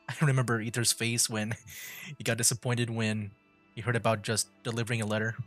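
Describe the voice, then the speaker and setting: A man's voice: medium pitch, little pitch movement, brisk, fairly bright tone. A man doing casual livestream commentary, chatting informally and rambling as he works out his thoughts. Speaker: gaming livestreamer. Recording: game music behind. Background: video game music.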